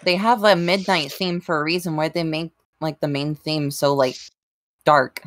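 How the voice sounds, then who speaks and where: A woman's voice: sits low, steady tone, normal speed, no room sound, clean studio sound. A woman bantering casually as a podcast panelist, with a joking, teasing manner.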